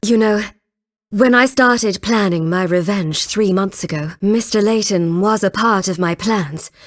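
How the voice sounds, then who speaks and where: A woman's voice: medium pitch, close to an everyday voice. An actress playing a haughty, vengeful young woman inquisitor in a dramatic video game, with an imperious, theatrical delivery. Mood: composed, regretful.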